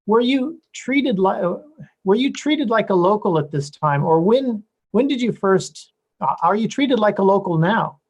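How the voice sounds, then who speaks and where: A man's voice: sits high, animated, a little slow. A man interviewing a guest, asking questions in a conversational, rambling way and rephrasing them as he goes.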